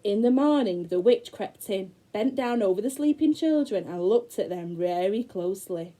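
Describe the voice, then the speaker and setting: A woman teacher reads a fairy tale to little children, her pitch rising and falling in a lively sing-song. Her voice is mellow and soft, and she lingers on words for dramatic effect. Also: poor audio. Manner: expressive, slow, dramatic storytelling with gasps. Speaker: primary school teacher. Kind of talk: storybook read-aloud for young children.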